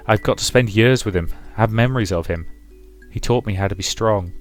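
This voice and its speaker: Loving but firm young daughter in a visual novel read by a man, measured and tender, lowish, over music. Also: close to an everyday voice. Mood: forgiving. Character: gentle, resolute grown daughter, loving and forgiving. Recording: music behind the voice.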